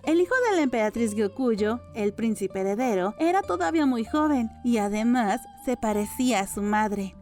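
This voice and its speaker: A woman's voice: on the high side, dark tone. A woman reading a novel aloud as scripted audiobook narration. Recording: music under the voice. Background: background music.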